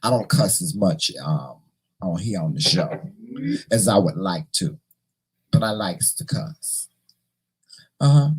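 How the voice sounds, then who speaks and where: A hoarse man hosting a casual morning livestream, chatting and teasing his viewers in a folksy way. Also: deep voice, lively intonation, takes it slowly, long pauses. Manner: folksy, teasing, talks to the chat; repeats words and restarts often. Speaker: morning show host.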